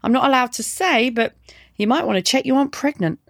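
A woman voicing a brash, sarcastic young punk woman in audiobook dialogue, deadpan and taunting. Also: high voice, brisk, no echo, studio quality, voice pushed up.

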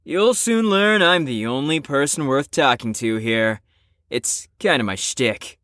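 A woman acting as a cocky teen troublemaker in an audio drama. The delivery is unhurried and full of swoops, teasing and slangy, in a dry recording. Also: extremely low pitch, expressive, fairly bright tone, dry recording, exaggerated voice. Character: cocky, slangy, smirking teenage show-off named Storm.

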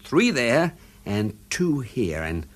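A British manservant turned amateur detective in a radio drama. He is meticulous, chatty and gently flirtatious, with hugely varied intonation as he patiently instructs. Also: medium pitch, very animated, slow. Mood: genial, coaxing.

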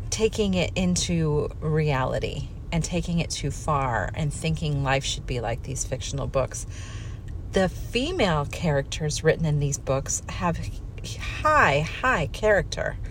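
A woman's voice: sits low, slight background noise, low-quality recording. A middle-aged woman giving a candid, conversational personal talk, self-deprecating and repeating words for emphasis. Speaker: speaker giving a personal talk on faith and family life.

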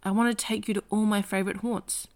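A woman reading the lines of a charming, eager young man in an audiobook-style reading, with a lively, coaxing delivery. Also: medium pitch, fast, lightly acted. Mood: enthusiastic.

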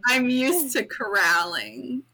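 A woman chatting on a podcast with a naturally loud, commanding voice. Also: on the high side, lively intonation, slow pace, a crowd in the background, poor audio. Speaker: podcast guest. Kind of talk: casual chat.

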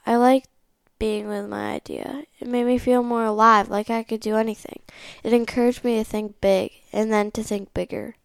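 A woman's voice: on the high side, level tone, moderate pace, gravelly, few pauses, smooth, dry recording, clean studio sound. A woman reading a picture book aloud as the host of a read-along.

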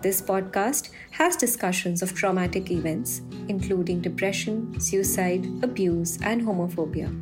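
A woman hosting a podcast, reading a measured, explanatory script. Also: mid-range voice, music in the background. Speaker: podcast host.

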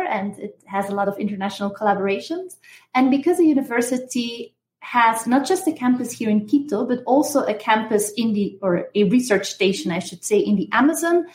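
A woman in her thirties from Belgium, explaining things as an interview guest and professor. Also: fairly high pitch. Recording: roomy, echoing sound.

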